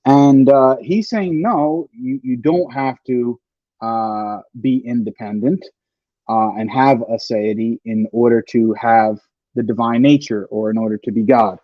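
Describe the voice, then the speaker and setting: A man's voice: medium pitch, steady tone, a little slow, dry recording. A male podcast host in casual chat, explaining an idea step by step with many fillers. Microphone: home computer mic over a stream. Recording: dull lo-fi mic.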